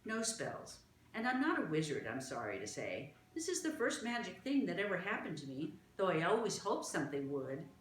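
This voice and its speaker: A woman voicing a mild, gentle small old gentleman who speaks softly and calmly. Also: fairly low pitch, moderate pace, slight background noise. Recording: roomy echo.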